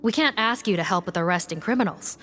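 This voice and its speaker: A woman voicing a dutiful female law officer leading her team in a video game, speaking briskly and firmly. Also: mid-range voice, sharp tone, lightly acted. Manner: brisk and duty-minded. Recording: game audio with clear music behind.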